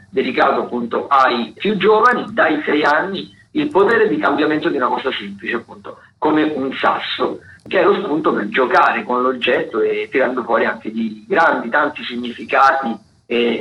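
A man hosting a radio show, reading out cultural listings in a halting, digressive, self-correcting way. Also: medium pitch. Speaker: radio host. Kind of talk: unscripted radio listings of theatre and dance events. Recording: roomy, dull lo-fi radio sound, faint noise.

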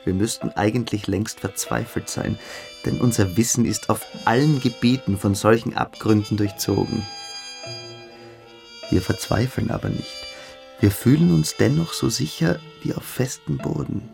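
A man's voice: medium pitch, moderate pace, gravelly, a little mellow, faint music behind, lightly acted. A man in a radio play, playing a fanatical, brooding schoolboy philosopher who lectures with pompous intensity. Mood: fervent lecturing.

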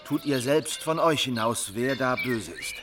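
An actor playing an earnest, devoted young disciple of a preacher in a historical radio drama, respectful yet zealous. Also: male voice, medium pitch, a little slow, natural voice. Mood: solemn reading. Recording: distant microphone, music underneath.

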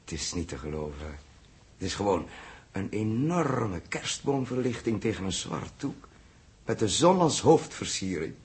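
An actor playing a calm, reflective male spaceship captain in a 1960s Dutch radio drama. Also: on the low side. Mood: calm command. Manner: measured, musing, commanding.

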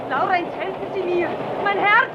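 A woman's voice: very high voice, normal speed, a loud crowd. An actress playing a terrified, wounded woman who wails and pleads for help in a 1950s German radio play. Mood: desperate pleading. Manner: wailing, desperate, exclamatory. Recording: muffled, very noisy 1950s radio recording with clamor.

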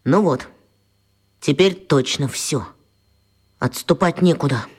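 A woman playing a brisk, stubborn schoolboy hero in a radio play. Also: deep voice, slightly rough, full character voice. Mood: firm, stubborn.

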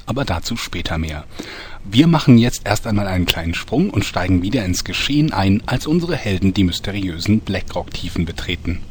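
A man's voice: low-pitched, lively intonation, fairly quick, slight background noise. A man acting as storyteller, giving scripted narration for a comic fantasy audio drama. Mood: narrating. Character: male storyteller recapping a mock fantasy soap.

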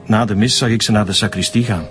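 An actor with a Flemish accent playing a nervous, evasive church sexton in a radio drama, speaking defensively. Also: male voice, on the low side, steady tone, fast, fairly bright tone, soft background music, close to an everyday voice. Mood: reluctant, uneasy. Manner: defensive, hedging.

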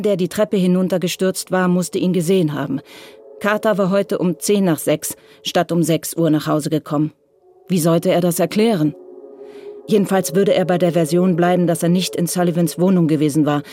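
A woman's voice: lowish voice, mellow, slight background noise. A woman narrating a radio crime drama from a script.

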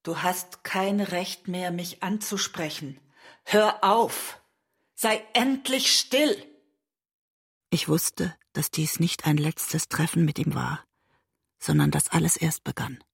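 Give the role of an anguished woman in love, in an intimate radio play. Her voice is rather low and very breathy, with a crackly edge. She speaks in a hushed, close manner. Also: expressive, takes it slowly, full character voice. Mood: upset, forceful. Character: anguished woman, lover of a self-destructive writer. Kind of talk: intimate German radio drama acting, part poetry.

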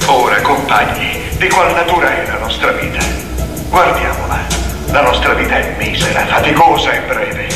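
A man's voice: mid-range voice, lively intonation, moderate pace, lightly acted. Male actor as old revolutionary boar, imposing, emphatic oratory, theatrical, distant from the mic, big echoing hall. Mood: grave, indignant. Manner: oratorical, emphatic, rhetorical questions. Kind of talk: theatrical political oration. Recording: echoey hall from afar, music behind. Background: music.

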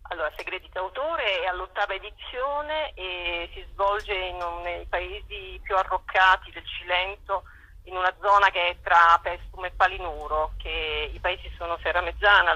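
A woman speaking spontaneously in a telephone interview as a festival director, often halting and repeating words as she searches for them. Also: on the low side, pushed and bright, dry recording. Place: on a telephone call. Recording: phone line.

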